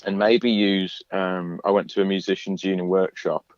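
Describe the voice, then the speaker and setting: A singer-songwriter guest in a radio interview, talking in a relaxed, chatty way with lots of fillers and restarts in his low voice. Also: moderate pace, no echo, muffled recording. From England.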